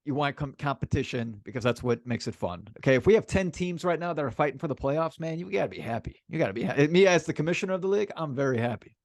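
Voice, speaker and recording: A man's voice: fairly high pitch, animated, quick pace, no echo. Casual man co-hosting, dry close sound. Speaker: sports podcast co-host. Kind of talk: casual sports banter.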